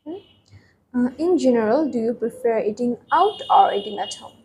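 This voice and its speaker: Casting: woman examiner, fairly high mellow voice, formal questions, faint hiss. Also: expressive, moderate pace, rough recording. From Bangladesh. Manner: formal, scripted questions with ums. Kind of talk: mock exam questioning.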